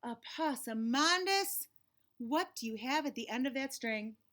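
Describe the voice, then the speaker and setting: A woman voicing an exasperated storybook mama who scolds and instructs her silly child with drawn-out emphasis. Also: sits high, animated, fairly bright tone. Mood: suspicious questioning.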